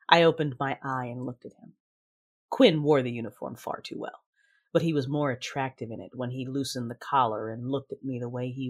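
An actress playing a proud, passionate but guarded woman warrior, narrating an audio drama in the first person in a breathy, intimate, measured way. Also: rumbling low. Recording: music under the voice. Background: music.

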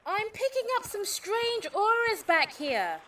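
A woman's voice: very high-pitched, sing-song, a little slow, sharp tone, distant mic, a little hiss or hum, full character voice. An actress playing a flighty, free-spirited young woman in a fantasy audio drama, with an airy, prim way of speaking. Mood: curious, uncertain.

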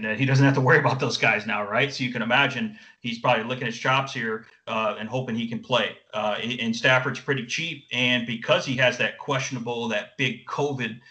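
Bright-voiced American sports analyst guy. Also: medium pitch, low-quality recording. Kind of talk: sports analysis, conversational breakdown.

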